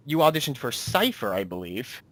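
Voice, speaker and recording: A man's voice: medium pitch, big pitch swings, fairly quick, fairly bright tone, no room sound. Man chatting as interviewer, dry close sound. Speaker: interviewer and director of an amateur audio drama. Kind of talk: casual interview chat.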